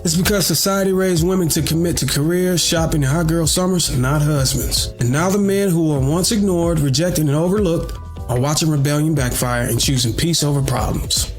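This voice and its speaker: A man's voice: a little high, moderate pace, soft background music. A man delivering a scripted monologue on dating in an emphatic way, repeating key lines for weight.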